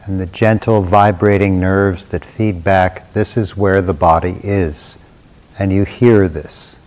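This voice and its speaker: Male meditation teacher, lowish voice, slow sparse guided phrasing with long pauses. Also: fairly even intonation, a little mellow.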